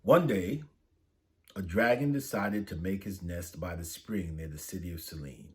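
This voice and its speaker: A man's voice: deep voice, moderate pace. A man reading a saint's story aloud for children, lifting his voice for the quoted lines. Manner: lively, lifts voice for dialogue. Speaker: storybook reader.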